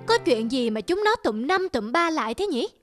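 A woman voicing a big, boastful, bullying male eagle in an animated fable, arrogant and sneering. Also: high-pitched, normal speed. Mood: smug. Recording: loud music behind the voice. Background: music.

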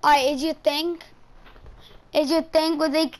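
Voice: high-pitched, flat delivery, normal speed. A brash, swearing gamer hosting a video and chatting casually with friends over voice chat. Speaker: video game streamer and host.

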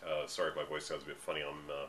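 Aussie man, 30s, low voice with a cold, emphatic webcam rant. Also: monotone, fairly bright tone, slight background noise. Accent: Australian. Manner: ranting, emphatic, drawn-out stresses. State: getting over a cold. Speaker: vlogger. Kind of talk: commentary monologue. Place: dim room at home, indoors.

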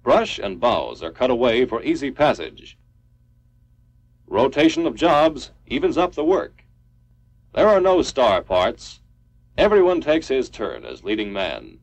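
A man's voice: mid-range voice, sing-song, a little slow. A man reading a scripted 1950s military training film narration in a formal, clipped, instructional manner. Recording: dull lo-fi 1950s film soundtrack.

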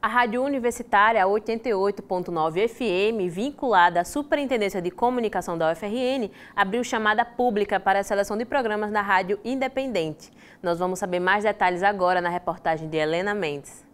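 A woman anchor reads scripted lead-ins in a TV studio. Her voice is smooth and crisp, at a mid pitch. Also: fairly quick. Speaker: news anchor. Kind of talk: news anchoring.

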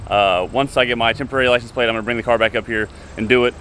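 A man's voice: mid-range voice, steady tone, racing, a bit bright. A man in his thirties giving casual, enthusiastic commentary as a car vlogger, talking to his viewers. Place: outdoors by roadside car lots and shops. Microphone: handheld camera mic. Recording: outdoor camera audio with street noise.

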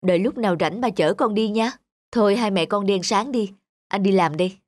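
Busy, hurried cartoon dad voiced by a man. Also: sits high, slight background noise, close to an everyday voice. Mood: apologetic. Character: busy, hurried father and office worker. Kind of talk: animated cartoon dubbing.

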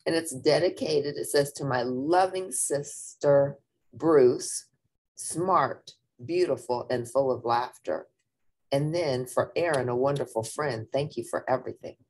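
A woman with a husky voice reading a picture book aloud to young listeners in an animated, deliberate manner, with brief asides. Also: very deep voice. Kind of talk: expressive children's read-aloud with brief asides.

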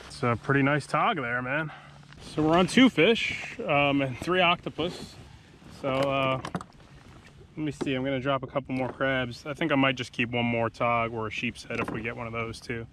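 A man's voice: medium pitch, moderate pace, a bit bright, slight background noise. Laid-back man narrating from a kayak. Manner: laid-back, talks to himself between casts. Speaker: kayak fishing vlogger. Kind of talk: casual running commentary while fishing. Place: kayak on calm open sea, outdoors. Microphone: action camera mounted on the kayak.

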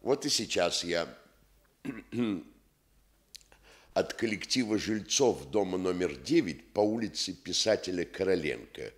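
An actor in a Soviet radio play voicing a pompous, self-important housing activist who speechifies officiously with false modesty. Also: male voice, low voice, animated, measured pace, long pauses. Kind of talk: Soviet radio theatre acting.

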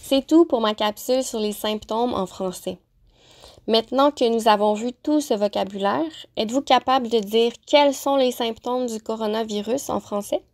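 Woman teaching slowly. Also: fairly high pitch, darkish tone. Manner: slow, carefully articulated example phrases. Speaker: French-as-a-second-language teacher. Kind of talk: clear, deliberate vocabulary lesson narration.

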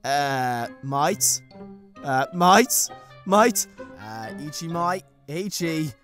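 A man's voice: fairly high pitch, expressive, drawn-out words, a bit bright, soft background music, pitched below the performer's own voice, heavily acted. A man voicing an exasperated, nervous dragon head who acts the protective big brother in a comic dub, hesitant and calling others mate. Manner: hesitant, says mate often.

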